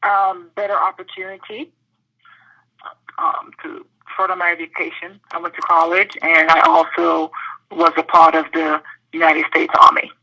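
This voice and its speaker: A woman from the British Virgin Islands answering interview questions thoughtfully, pausing often with fillers. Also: lowish voice, sharp tone, poor audio. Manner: thoughtful, with many pauses and fillers.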